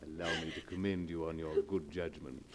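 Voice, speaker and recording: An actor playing a mock-courteous, menacing blackmailer of about fifty in a 1940s radio melodrama. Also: male voice, very deep voice, steady tone, normal speed. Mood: smug. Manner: mock-courteous, insinuating, formal. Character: suave menacing large bearded male blackmailer, about fifty. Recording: noisy old 1940s radio recording.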